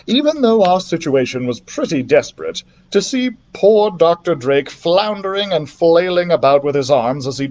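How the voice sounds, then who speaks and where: A man narrates a children's adventure tale as if at library storytime. His mid-range voice moves up and down a great deal, with a theatrical, expressive delivery. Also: medium pitch. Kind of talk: dramatic read-aloud of a children's adventure story.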